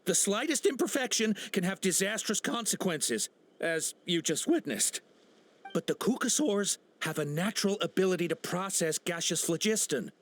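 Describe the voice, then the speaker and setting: A jittery captured smuggler with a high, strained voice, stumbling over his words and piling up nervous uhs. Faint game music runs underneath. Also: lively intonation, normal speed, fairly bright tone. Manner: stammering, full of uh and nervous restarts. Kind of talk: game cutscene voice acting.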